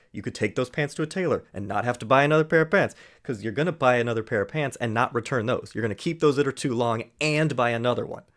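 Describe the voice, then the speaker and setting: A man giving dry, mocking commentary while reacting to a video. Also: mid-range voice. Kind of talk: sarcastic commentary over a played video.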